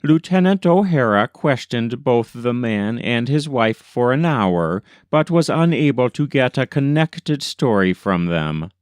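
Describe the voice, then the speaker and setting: Slow-paced man reading a news story. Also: mid-range voice, animated, no room sound, studio quality. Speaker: volunteer reader. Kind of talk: scripted narration of an old newspaper story.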